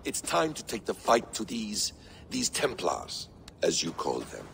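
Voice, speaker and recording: An actor playing a calm, scheming ancient man who speaks in a measured, quietly menacing way, in a video game cutscene. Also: deep voice, creaky, slight background noise, exaggerated voice. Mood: composed.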